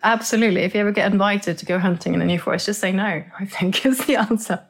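A middle-aged woman from Norway, speaking as an expert guest in a conversational interview and explaining with lively enthusiasm. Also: mid-range voice, fast talker. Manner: enthusiastic, explanatory, repeats words.

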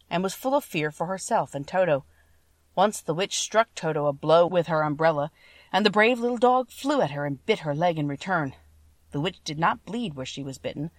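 Female audiobook narrator: expressive scripted children's storytelling, mid pitch, dry sound, a little off the mic. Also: no room sound, far from the mic.

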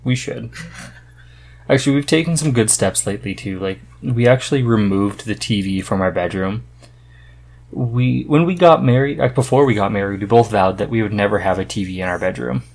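Low-voiced man chatting casually. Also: a little fast, gravelly, a little mellow. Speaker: podcast host. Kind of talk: casual conversational podcast chat.

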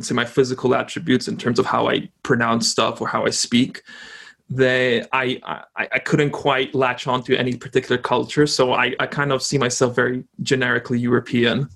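A young man from Poland chats casually as a guest, often stopping and restarting his sentences. His voice has a gravelly, creaky edge. He sounds relaxed and thoughtful. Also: mid-range voice. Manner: hesitant, frequent restarts. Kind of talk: casual conversation.

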